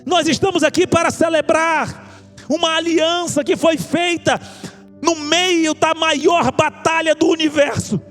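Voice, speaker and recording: A man's voice: very high-pitched, fairly even intonation, measured pace, fairly bright tone. A man with a Brazilian accent preaching an evangelical sermon, exhorting listeners with impassioned, repeated appeals. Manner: impassioned, exhorting, rhetorical repetition. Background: music under the preaching.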